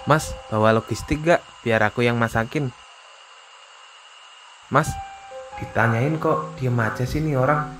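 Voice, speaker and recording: A friendly, chatty young male hiker acted by a man from a cartoon. His voice is mid-pitched and casual, over music. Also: unhurried, pauses often, a bit bright, lightly acted, rough recording. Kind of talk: animated story dialogue.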